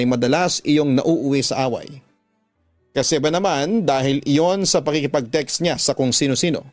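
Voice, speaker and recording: Casting: male storyteller for sentimental radio drama, emotive first-person delivery, close dry sound over soft music. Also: on the high side, no room sound. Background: faint music.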